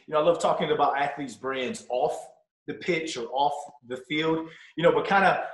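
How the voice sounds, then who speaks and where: A man's voice: on the high side, moderate pace, echoey room, poor audio. A young man hosting a casual interview over a video call, upbeat and chatty, on a desk mic in his home room. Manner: upbeat, chatty, frequent "you know" and "wow". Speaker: podcast host. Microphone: podcast mic on stand, headphones.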